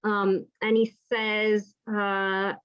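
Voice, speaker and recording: A woman's voice: mid-range voice, level tone, extremely slow, clean tone. American woman doctor telling a story in a lecture, chatty and thoughtful, kinda muffled recording. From United States (Pacific Northwest). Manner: thoughtful, frequent "right?" and "like" fillers, conversational. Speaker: palliative care clinician.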